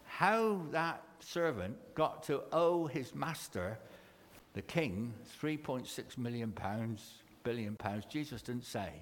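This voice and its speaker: A man with a mission group giving a chatty church talk and a children's story, halting with fillers. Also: medium pitch, animated. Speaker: mission worker speaking at church. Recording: echoey hall reverb.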